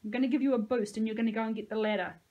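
A woman voicing an urgent, determined boy in a story, with forceful calls. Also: on the high side, steady tone, very fast, phone-quality sound, close to an everyday voice. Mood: grimly determined. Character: urgent, determined boy leading trapped children.

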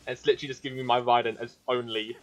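A male gaming video creator with a medium-pitched voice. He comments casually on his play in short, offhand remarks and reads prompts aloud. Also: little pitch movement, rapid-fire, fairly bright tone, low-quality recording.

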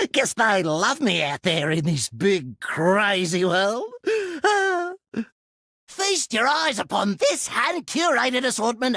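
An actor playing a manic young male demolitions maniac with an Australian accent and a high, shrill, cackling voice, giddy and scatterbrained, in a video game. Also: pushed and bright, no echo, heavily acted. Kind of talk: video game character voice lines. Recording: dry studio recording.

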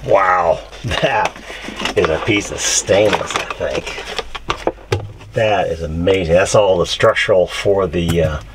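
A man's voice: low-pitched, slow, background noise. A man in his fifties chatting casually as he shows around a sailboat, pointing things out on a handheld camera. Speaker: sailing vlogger. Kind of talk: casual show-and-tell vlog. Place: aboard a sailboat, cabin and deck. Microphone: handheld camera mic.

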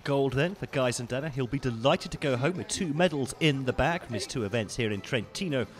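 A man giving polished, scripted voice-over commentary on ski race highlights. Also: animated. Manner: measured, polished broadcast delivery. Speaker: sports commentator.

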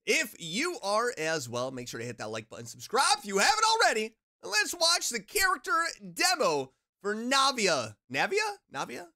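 A reaction streamer gushing over a game trailer with loud exclamations and frequent laughter. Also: mid-range voice, moderate pace, hardly any pauses. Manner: excitable, laughs often, exclaims loudly. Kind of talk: casual reaction commentary.

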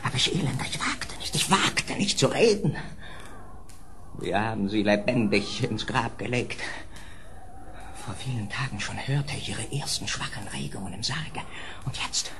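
A tormented nobleman played by a male actor sinks into madness in an old audio drama. He speaks in feverish, halting bursts, swinging wildly between creaky whispers and loud cries. The recording is clearly noisy. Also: medium pitch, lively intonation, natural voice. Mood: frenzied. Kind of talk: 1970s German audio drama acting.

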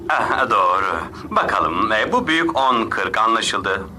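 A man's voice: on the low side, big pitch swings, slow. A man voicing a bumbling, scatterbrained space-bound superhero in a dubbed cartoon, speechifying grandly and rambling. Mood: grandiose. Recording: loud music over voice.

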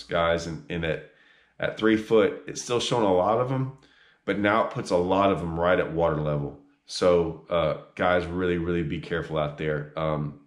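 A man, giving earnest, casual fishing advice straight to a camera, with plenty of ums and restarts. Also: deep voice. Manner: earnest, repeats himself, many ums and restarts, gestures with hands. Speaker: fishing guide giving a tournament report. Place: small room indoors. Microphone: camera on a tripod a few feet away. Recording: echoey room.

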